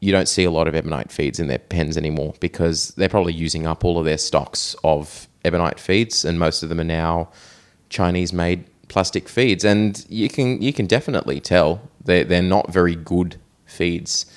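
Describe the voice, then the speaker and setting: A man co-hosting a hobby podcast, talking casually and appreciatively in a halting way, often repeating his words. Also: deep voice. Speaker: podcast co-host.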